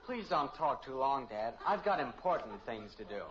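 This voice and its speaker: A man's voice: medium pitch, sing-song, moderate pace, lightly acted. Guy playing eager polite teen son in a 50s radio sitcom, voice jumps around, faint hiss. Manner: earnest, polite, salesmanlike. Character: eager, self-important teenage son running a business. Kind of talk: 1950s radio family comedy acting. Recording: muffled old radio recording, faint hiss.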